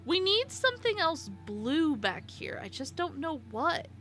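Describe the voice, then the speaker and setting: Slow, bright-voiced woman streamer in her twenties. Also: high-pitched, sing-song, faint music behind. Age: 20s. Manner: chatty, giggly, answers chat by name. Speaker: live streamer. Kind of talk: casual live-stream chat while playing.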